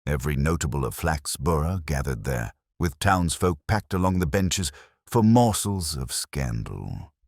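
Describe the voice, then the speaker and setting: A man giving a measured, literary scripted narration of a detective story. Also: a bass voice, big pitch swings, a little mellow, no echo, studio quality.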